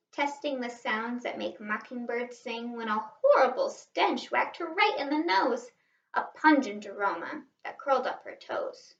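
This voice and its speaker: A woman reading a children's picture book aloud in an expressive, animated way, like a teacher stressing the rhymes. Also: fairly high pitch, vocal fry. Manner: animated, asks listeners questions, stresses rhymes. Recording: roomy, slightly distant, dull sound.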